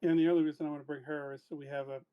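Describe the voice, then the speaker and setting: Guy with a highish voice playing a tabletop game, casual, kinda unsure, thinking out loud. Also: flat delivery, racing, clear, resonant tone, narrow, tinny audio. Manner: hesitant, thinking aloud. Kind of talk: casual chat.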